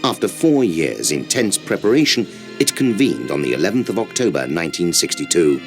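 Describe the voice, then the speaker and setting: A man's voice: low voice, sing-song, fairly quick, faint music behind. A man reads a formal, scripted historical narration as a documentary narrator.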